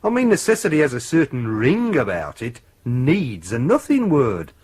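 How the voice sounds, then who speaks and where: A man's voice: medium pitch, wildly swooping pitch, moderate pace, a little mellow, slightly rough. An actor playing a plain, grumbling British policeman in a naturalistic radio drama, blunt and chatty. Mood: grumbling, sheepish.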